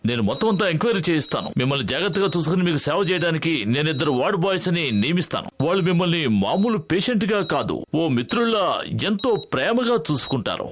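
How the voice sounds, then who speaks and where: Man playing an animated, high-pitched cartoon doctor. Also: racing, a little hiss or hum, lightly acted. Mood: reassuring. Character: confident, blithely reassuring male hospital doctor. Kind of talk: cartoon dub acting. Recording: loud music behind.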